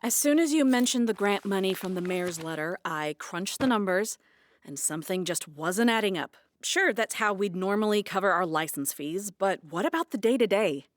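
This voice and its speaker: An actress playing a practical woman bookkeeper in an audio drama, explaining things frankly with gentle sarcasm. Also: mid-range voice, lively intonation, normal speed, no echo, close to an everyday voice. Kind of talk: naturalistic audio drama dialogue.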